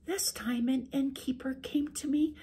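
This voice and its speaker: A woman voicing a sly young wife who speaks softly and sweetly in a high voice. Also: steady tone, unhurried, husky, exaggerated voice.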